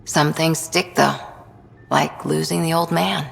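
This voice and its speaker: Blunt alien commando, low gravelly voice, guarded, game character, long reverb. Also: female voice, fairly even intonation, takes it slowly, heavily acted. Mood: gruff, fond. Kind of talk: video game acting.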